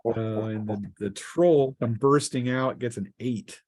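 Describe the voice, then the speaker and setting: A man acting as game master, improvising narration for a tabletop game and often halting to search for words. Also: medium pitch, darkish tone.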